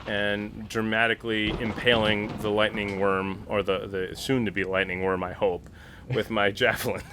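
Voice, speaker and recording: A man playing a tabletop role-playing game in casual banter, excitable and playful, narrating his character's moves with dramatic flair. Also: fairly low pitch, normal speed. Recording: noticeable background noise.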